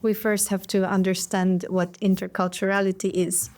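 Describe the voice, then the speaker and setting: A young woman from Hungary, a master's student and podcast guest, explaining ideas in a measured way. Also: medium pitch, flat delivery, darkish tone. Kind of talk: interview answers.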